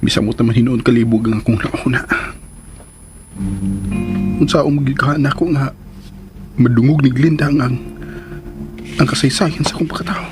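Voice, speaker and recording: An actor with a Filipino accent playing a tormented man haunted by a secret, in halting, hushed radio drama monologue. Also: mid-range voice, steady tone, lightly acted. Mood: brooding, troubled. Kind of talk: Philippine radio drama acting. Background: dramatic background music.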